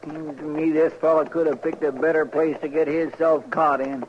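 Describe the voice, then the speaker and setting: A man acting a loyal, grumbling western sidekick with a rural American twang and a fairly high voice. He is folksy and excitable, on a noisy, muffled old radio recording. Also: moderate pace, lightly acted.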